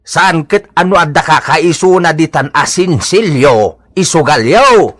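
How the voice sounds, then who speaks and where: A stern old Ilocano father in a radio comedy drama. His forceful, sharp voice rides fairly high and swings widely as he slowly admonishes. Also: lively intonation. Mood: scolding. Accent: Ilocano Filipino. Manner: admonishing, drawn-out questions. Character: stern, scolding old father disapproving of gambling.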